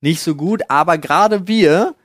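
A man's voice: fairly high pitch, measured pace. A man chatting casually as a podcast co-host, debating in informal, slangy language. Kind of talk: casual chat.

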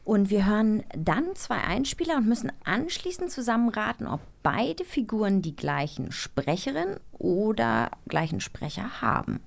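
A woman's voice: mid-range voice, very animated, moderate pace, creaky, few pauses. A woman chatting casually as a podcast co-host, in a chatty, emphatic way. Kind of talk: casual chat.

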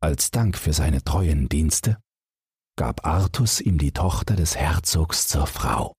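A man with an extremely low, husky voice reads a fairy tale for bedtime. His delivery is measured and expressive, in the way of an audiobook storyteller. The sound is dry and close. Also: no room sound. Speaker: audiobook narrator.

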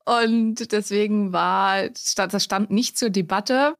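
A woman's voice: mid-range voice, slow pace, creaky. A woman physician from Schleswig-Holstein, answering interview questions in a chatty, self-assured, wry way. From Neumünster, Schleswig-Holstein. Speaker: physician and podcast guest.

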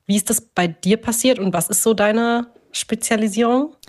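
A woman's voice: fairly high pitch, normal speed, darkish tone. A young woman co-hosting a podcast in an informal, conversational style. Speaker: podcast co-host. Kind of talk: casual podcast chat.

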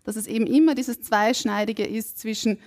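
A woman from Burgenland with an Austrian German accent, a linguist on a live podcast stage, explaining in a measured, academic way. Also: a little high, steady tone, a little mellow. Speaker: guest linguist. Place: stage before a live audience.

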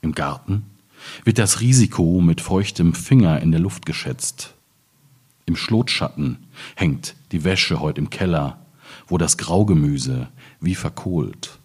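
A man from the Ruhr area in Germany reading his own poems in measured phrases. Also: sits low, animated, a little mellow, breathy edge. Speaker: poet reading own work.

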